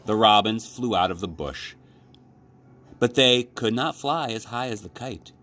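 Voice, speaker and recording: A man reading a children's storybook aloud as a librarian, with expressive, measured narration. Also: lowish voice. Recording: clearly noisy background.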